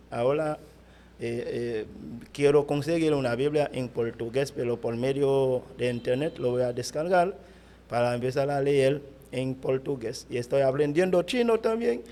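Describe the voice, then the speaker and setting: Haitian pastor, halting Spanish, mellow voice. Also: male voice, medium pitch. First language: Haitian Creole. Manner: halting, searching for words in Spanish. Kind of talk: interview answers.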